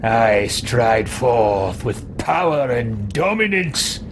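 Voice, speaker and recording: A man's voice: mid-range voice, very animated, extremely slow, background noise, voice pushed down. A man roleplaying a haughty, power-hungry villainous mage in a video game, with a pompous, imperious, theatrical delivery. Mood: smug.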